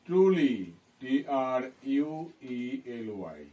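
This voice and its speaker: A Bengali-accented male teacher speaks extremely slowly at a middling pitch, as if dictating to a class. He repeats phrases deliberately and checks understanding. His voice is picked up from some distance from the microphone. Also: sing-song, warm tone. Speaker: English teacher. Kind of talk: dictation-style lesson, spelling out and translating phrases.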